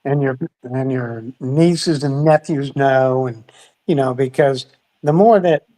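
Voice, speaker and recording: A man's voice: mid-range voice, slow pace, mellow, low-quality recording. A man chatting with his family on a live stream, speaking hesitantly with restarts. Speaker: guest, brother. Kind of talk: casual family chat.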